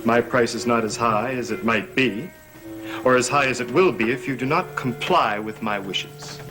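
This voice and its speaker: An actor playing a scheming young stepson in a gothic soap opera, formal, measured and insinuating, with quiet menace. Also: male voice, medium pitch, natural voice, rough recording. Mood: coolly threatening. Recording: old TV soundtrack with dramatic music.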